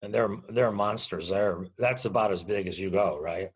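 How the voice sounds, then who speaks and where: A man's voice: on the low side, normal speed, breathy edge. A man conducting a conversational interview, chatting casually as he puts questions and comments to his guest.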